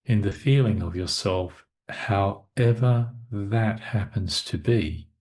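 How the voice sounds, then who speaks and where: A male meditation teacher talks at an extremely unhurried pace. His voice sits fairly low, and he delivers the guidance in short, measured phrases, leaving long silences between them. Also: darkish tone. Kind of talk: guided meditation talk.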